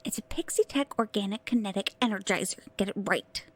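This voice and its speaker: An actress playing a smart, sassy, teasing tech pixie sidekick in an audio drama. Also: female voice, mid-range voice, fairly quick, no echo, lightly acted. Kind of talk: scripted audio drama acting.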